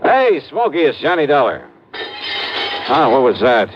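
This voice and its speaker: An actor playing a brisk, wry, tough male insurance investigator in a 1950s radio detective drama, with clipped speech. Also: mid-range voice, huge pitch swings, heavy background noise. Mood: alarmed, grim. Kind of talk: 1950s radio detective acting and first-person narration. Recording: dull vintage radio recording, prominent music.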